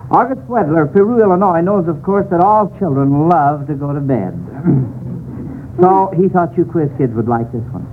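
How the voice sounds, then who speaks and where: A man's voice: on the high side, animated, moderate pace. An American man hosting a 1940s radio quiz, encouraging the contestants. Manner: chuckles often, encouraging to contestants. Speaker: quiz show host. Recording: tinny, faintly noisy old radio broadcast.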